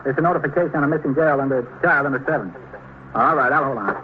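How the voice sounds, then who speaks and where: Actor as brisk desk sergeant, tinny old radio. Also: male voice, medium pitch, close to an everyday voice. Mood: brisk, insistent. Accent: American. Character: brisk, insistent police switchboard sergeant. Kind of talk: 1950s radio drama acting. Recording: thin, phone-like hissy old radio recording.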